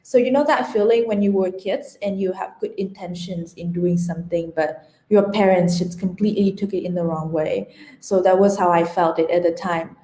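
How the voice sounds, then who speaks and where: A woman's voice: on the low side, fairly even intonation, normal speed, dark tone. A young woman from Vietnam, giving an informal, conversational talk full of personal storytelling and casual "like"s. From rural Vietnam. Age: young adult. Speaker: conference speaker, women-in-tech community organizer. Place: indoor room on an online conference call. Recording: roomy, echoey online call.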